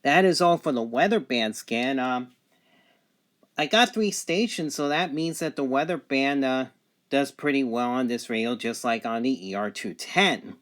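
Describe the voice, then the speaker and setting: A man with a mid-pitched voice gives a casual, matter-of-fact product review. He pronounces letters and numbers with care as he demonstrates. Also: measured pace, a bit bright.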